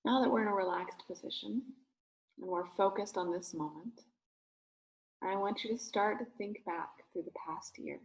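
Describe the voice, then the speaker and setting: A woman speaking as a rabbi leading a guided meditation, giving gentle, soothing instructions in short phrases with pauses. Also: mid-range voice, low-quality recording.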